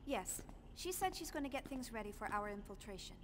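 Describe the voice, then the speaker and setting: A woman's voice: fairly high pitch, big pitch swings, fairly quick, background noise. An actress playing an earnest, composed young woman in a sci-fi video game. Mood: calm. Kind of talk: English video game dub acting.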